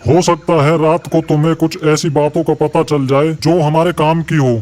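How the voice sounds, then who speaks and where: A man's voice: a little high, flat delivery, moderate pace, a little mellow, natural voice. A man voicing a troubled male animal who guards the forest against thieves, in a cartoon story. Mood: worried. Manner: earnest, troubled. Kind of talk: cartoon voice acting.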